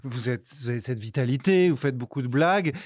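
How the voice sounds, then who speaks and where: A man's voice: mid-range voice, animated, brisk. A man with a mid-range voice interviews someone in a conversational style. He listens attentively, prompts and rephrases what he hears. The sound is dry, with no room echo.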